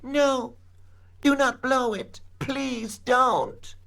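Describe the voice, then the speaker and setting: A woman voicing a small, timid male cartoon elf-like creature on a children's story record, high and creaky, pleading plaintively. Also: extremely slow, fairly bright tone, exaggerated voice. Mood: frightened pleading. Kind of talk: children's read-along record acting.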